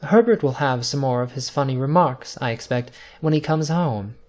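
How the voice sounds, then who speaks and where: A man's voice: medium pitch, moderate pace, darkish tone, close to an everyday voice. A man voicing an elderly mother in a storybook drama, emphatic and anxious in manner. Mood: amused, dismissive. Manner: emphatic, anxious, breathless when alarmed. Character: elderly mother, cheerful then frantic with worry.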